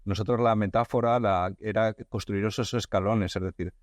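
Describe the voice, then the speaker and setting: A man's voice: on the low side, steady tone, normal speed, no room sound. A man hosts a podcast conversation. He thinks aloud, often breaking off and restarting his phrases. The sound is dry and close. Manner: thinks aloud, frequent restarts and repeated words. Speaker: podcast host. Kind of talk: conversational interview.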